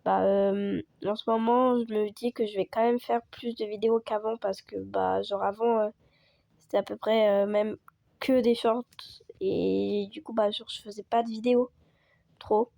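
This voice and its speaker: A woman chatting casually over gameplay as a video creator, hoarse from a cold and rambling with lots of fillers. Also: medium pitch, little pitch movement, unhurried, warm tone, no room sound. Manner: rambling, full of fillers and restarts.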